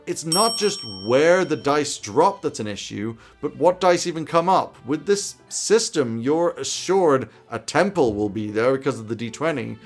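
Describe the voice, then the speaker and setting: A man in his thirties presenting scripted game master advice to camera from a small home office. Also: fairly high pitch. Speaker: YouTube host giving game master tips.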